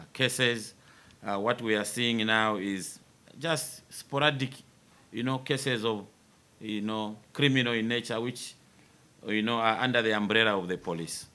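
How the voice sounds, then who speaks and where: A Zambian man in his fifties, a military force commander, giving a formal press interview in a measured way with many pauses. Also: on the low side, bright, forceful tone.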